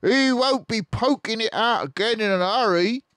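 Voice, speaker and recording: A shrewd, cocky farmer from a storybook. The pitch sits far up high, and the talk is loud and folksy. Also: male voice, moderate pace, smooth, no echo, studio quality. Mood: cocky, knowing. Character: Bunce, shrewd rustic male farmer, sharp and cocky.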